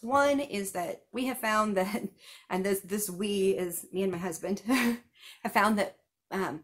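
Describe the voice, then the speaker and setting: A woman's voice: mid-range voice, fairly bright tone. A woman in her thirties giving numbered tips in a casual chat to camera, seated on a couch at home, with small laughs and asides. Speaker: vlogger giving festival tips.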